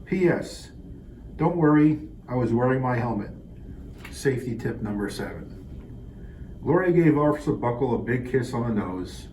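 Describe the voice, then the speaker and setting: A man with a mid-pitched voice reads a children's picture book aloud. The recording is roomy and echoey, with faint noise behind him. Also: dark tone. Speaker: police officer reading a children's book aloud.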